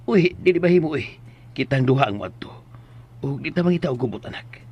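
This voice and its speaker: A man with a Cebuano Filipino accent playing a humble, fussing middle-aged farmer father in a radio drama, expressive and pleading. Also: medium pitch, brisk, dull audio, a little hiss or hum, natural voice. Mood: earnest. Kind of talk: Philippine radio drama acting.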